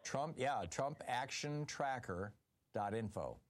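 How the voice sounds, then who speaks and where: A man's voice: mid-range voice, takes it slowly. A man hosting a talk radio call-in show, taking calls and reading items aloud with emphasis. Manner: lively, reads lists aloud with emphasis.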